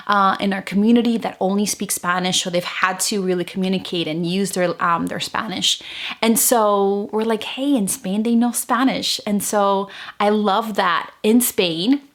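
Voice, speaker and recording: A woman's voice: mid-range voice, a bit bright. A woman in her thirties from Venezuela talks casually to her viewers, chatty and full of fillers and restarts. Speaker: vlogger. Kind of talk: casual chat to camera.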